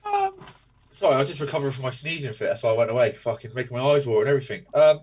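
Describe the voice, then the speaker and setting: A man joining a casual chat, quick and sweary, laughing as he talks. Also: mid-range voice, sing-song, darkish tone, muffled recording, a little hiss or hum.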